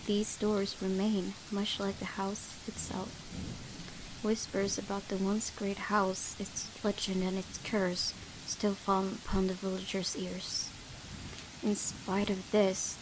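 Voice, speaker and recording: A woman's voice: medium pitch, background noise. A woman who is not a native English speaker, hosting a let's play and reading game text aloud. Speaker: gaming video host.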